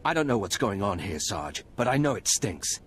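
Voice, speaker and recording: Brief: a nervous game soldier, lively pitch, plain and wary. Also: male voice, normal speed, a little hiss or hum. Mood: uneasy. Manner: uneasy, plain-spoken. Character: wary imperial trooper, uneasy and practical. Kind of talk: video game cutscene acting.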